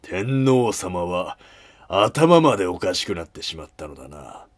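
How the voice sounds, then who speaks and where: A man's voice: low-pitched, very animated, unhurried, pitched below the performer's own voice, exaggerated voice. A man as a blunt, haughty ancient warlord. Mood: scornful. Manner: blunt, commanding archaic speech. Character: haughty, defiant male warlord of ancient Japan. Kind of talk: historical drama dialogue.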